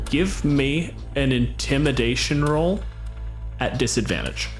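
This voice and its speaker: A man's voice: mid-range voice, animated, unhurried, soft background music. A man narrating and bantering as game master, with a sweary, teasing manner. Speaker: game master and podcast host.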